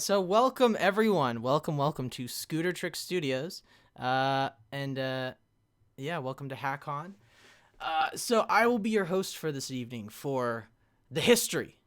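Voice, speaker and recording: A man's voice: mid-range voice, animated, moderate pace, fairly bright tone. A man hosting a casual live-streamed convention panel. He rambles loosely, with lots of fillers and restarts, and stops to check his mic and the chat.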